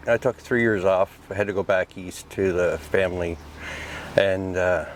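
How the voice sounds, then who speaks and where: A man's voice: deep voice, steady tone, normal speed. A middle-aged man from Nova Scotia, a guest in a casual interview, who gives short, clipped answers. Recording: faint background noise.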